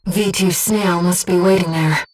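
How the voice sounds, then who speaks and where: A woman voicing a gentle, earnest disembodied being in a video game, guiding a pilot with composed, precise, softly formal delivery. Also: lowish voice, fairly even intonation, a little slow, far from the mic. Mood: composed, focused. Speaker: mission support operator. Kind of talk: scripted video game voice acting.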